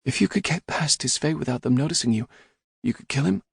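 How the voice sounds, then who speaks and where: Guarded young woman in a storybook read, put on by a male narrator: hesitant, earnest, rough-edged, close and dry. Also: a little high, animated, fairly quick, no room sound, pitched above the performer's own voice, heavily acted. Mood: wary. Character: guarded young woman, wary yet hopeful.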